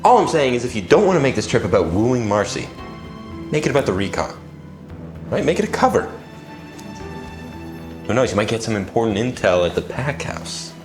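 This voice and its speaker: A laid-back werewolf beta, as a man voices him, casual and coaxing in a mid-range voice. Soft music runs under him. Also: medium pitch, very animated, moderate pace, long pauses, natural voice. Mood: persuasive. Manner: casual, persuasive, teasing. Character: loyal easygoing male werewolf beta, the alpha's best friend. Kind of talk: audio drama acting.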